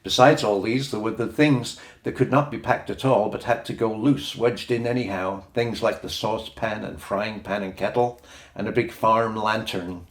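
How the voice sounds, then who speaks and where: A man's voice: lowish voice, normal speed. A man reading a children's story aloud as an audiobook narrator, in scripted storybook style. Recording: roomy echoing sound.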